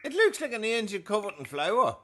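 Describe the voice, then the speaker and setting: Squeaky little critter, super animated and sharp, comedy audio play. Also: male voice, fairly quick, a little hiss or hum, exaggerated voice. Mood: startled, excited. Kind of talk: comic audio drama.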